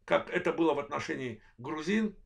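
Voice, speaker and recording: Gravelly worn-out voice, guy in his 40s from azerbaijan doing a political rant on his channel, stop-start phrasing. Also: fairly high pitch. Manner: measured, halting delivery in short phrases. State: voice worn, apologizes for it. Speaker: channel host, political commentator. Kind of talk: monologue political analysis.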